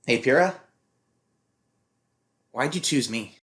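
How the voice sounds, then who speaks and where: A man's voice: mid-range voice, animated, takes it slowly, fairly bright tone. A man playing an awkward, unsure young novice fighter in a fan audio drama, hesitant and sheepish. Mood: curious, unsure.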